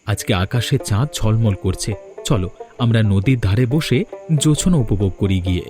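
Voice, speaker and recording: A man's voice: lowish voice, lively intonation, moderate pace, music in the background, close to an everyday voice. A man playing an earnest, devoted young husband in a cartoon, talking in a coaxing, sincere way. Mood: reassuring. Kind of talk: Bengali cartoon voice acting.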